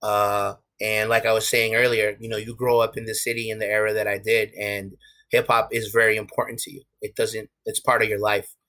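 A man's voice: on the low side, level tone, a little fast, sharp tone. A young man with a New York accent, talking candidly as an interview guest, with many restarts and fillers. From USA. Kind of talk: casual interview talk.

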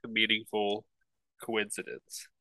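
Stuffy-nosed man, bright and forceful. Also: fairly low pitch, monotone, slow pace, dry recording, poor audio. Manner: rambling, hesitant, laughs easily. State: stuffy nose from allergies. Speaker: podcast co-host. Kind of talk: casual chat.

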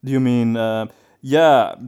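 Clear, smooth-voiced man chatting, softly mellow. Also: mid-range voice, takes it slowly. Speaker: podcast co-host. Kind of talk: casual conversational discussion.

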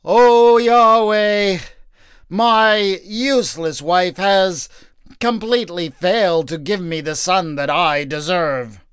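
A man voicing a pompous, self-righteous man who prays loudly and scoffs at his wife. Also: high voice, slow pace, smooth, no echo, studio quality, voice pushed up. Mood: pleading.

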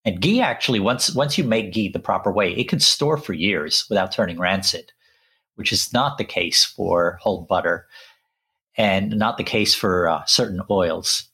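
A man with a very low, husky voice talks casually as a co-host. He often stops and repeats words before he goes on. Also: animated, pushed and bright. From born in Sri Lanka, raised in England. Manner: halting, with frequent restarts and repeated words. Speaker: podcast co-host. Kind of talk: casual conversational chat.

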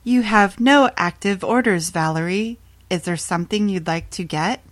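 A woman playing a polite, mechanical smart speaker assistant in an audio horror story, speaking in a measured, emotionless way. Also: mid-range voice, natural voice. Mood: politely helpful. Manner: measured, emotionless, robotic delivery.

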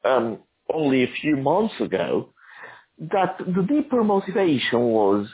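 A man who grew up in Argentina, an author answering interview questions in a halting, thoughtful way with many restarts. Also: medium pitch, sing-song, narrow, tinny audio.

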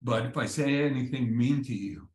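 Man, middle pitch, dry self-deprecating humor, halting restarts, echoey room sound. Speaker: comedy writer and professor. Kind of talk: casual interview answers. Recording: roomy echo.

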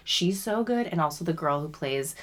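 Chatty woman with a low voice. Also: expressive, normal speed. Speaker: podcast co-host. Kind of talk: casual chat.

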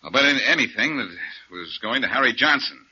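Cocky needling 40s radio sleuth, lowish voice with big swings, sharp delivery. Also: male voice, animated, fast, husky, full character voice. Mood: insistent, needling. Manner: insistent, wisecracking. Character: confident, needling amateur sleuth, quick-witted man. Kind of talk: 1940s radio crime drama acting. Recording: muffled vintage 1940s radio recording.

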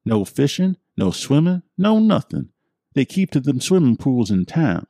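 A man's voice: medium pitch, big pitch swings, unhurried, vocal fry, warm tone, dry recording, clean studio sound, pitched above the performer's own voice, full character voice. A man voicing a crude, gossipy old country man who cackles over his stories. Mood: knowing.

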